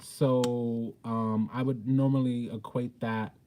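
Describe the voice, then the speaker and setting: A man with a soft-edged, mellow voice at an average pitch hosts an informal tarot reading. He talks bluntly and sassily, swearing freely. His delivery is emphatic and theatrical. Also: little pitch movement, slow pace, slight background noise. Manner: blunt, sassy, profane. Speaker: tarot reader, host.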